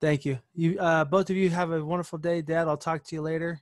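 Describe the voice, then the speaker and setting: A man's voice: a little high, steady tone, fairly quick, no echo, clean studio sound. Young man hosting a podcast. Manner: reacts with short exclamations, hesitant restarts. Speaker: podcast host. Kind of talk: casual podcast interview.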